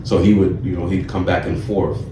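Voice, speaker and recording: Male guest, late twenties, from Ethiopia, deep voice, halting casual storyteller, recorded at a distance in a roomy space. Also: fairly even intonation. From Ethiopia, then Buffalo in the US from age eleven. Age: late 20s. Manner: halting, frequent "you know". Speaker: interview guest. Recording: roomy, distant mic, clear background noise.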